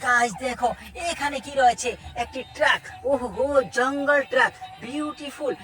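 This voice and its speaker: High-voiced woman presenting toys, exclamatory. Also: fairly even intonation, moderate pace, fairly bright tone. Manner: exclamatory, with repeated wows and short phrases. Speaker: presenter of a toy video. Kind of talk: toy showcase narration for viewers.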